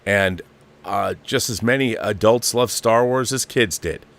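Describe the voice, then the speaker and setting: A man's voice: on the low side, a little slow, vocal fry, fairly bright tone. A middle-aged or older man hosting a live show, giving opinionated, casual film commentary and repeating phrases for emphasis.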